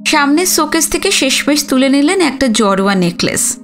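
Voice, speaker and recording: A woman's voice: sits high, sing-song, moderate pace, a bit bright. A woman reading a literary story as a dramatic audiobook narration. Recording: music under the voice. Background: background music.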